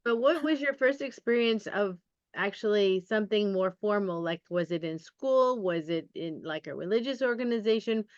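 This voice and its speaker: A woman's voice: medium pitch, expressive, moderate pace, no echo. A woman podcast host reads a guest introduction and then asks questions, with the occasional small slip. Her voice is recorded dry, with no room sound, and the audio is slightly dull. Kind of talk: read introduction and casual interview.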